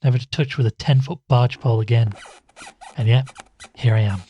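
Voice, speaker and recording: A man's voice: medium pitch, fairly quick, lo-fi sound, a little hiss or hum, natural voice. A man playing an uneasy, wryly weary investigator in an audio drama, speaking hesitantly. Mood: weary, reluctant. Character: uneasy male investigator narrating his case, reluctant and wry. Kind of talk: audio drama dialogue and first-person narration.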